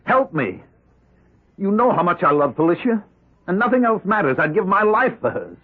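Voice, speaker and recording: A man's voice: sits high, sing-song, normal speed, husky, heavily acted. An actor playing a commanding, wealthy man devoted to his dying wife, speaking with earnest intensity in an old radio drama. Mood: desperate, urgent. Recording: muffled, band-limited vintage radio recording.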